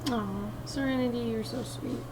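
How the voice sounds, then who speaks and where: Raspy-voiced woman chatting with her stream. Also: on the high side, moderate pace, recorded from a distance, music in the background, rough recording. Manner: chill, relaxed, sniffing often. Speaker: livestreamer. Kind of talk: casual chat with stream viewers while drawing. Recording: steady background noise.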